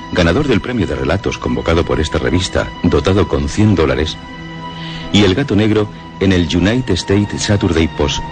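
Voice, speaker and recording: A deep-voiced man presents a radio programme. He reads scripted literary narration over clearly audible music.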